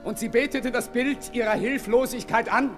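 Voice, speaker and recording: An actor playing a bitter, accusing revolutionary orator, declaiming in a 1960s German radio play. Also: male voice, extremely high pitch, steady tone, moderate pace, fairly bright tone. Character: accusing male revolutionary orator denouncing priests. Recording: long hall reverb, music.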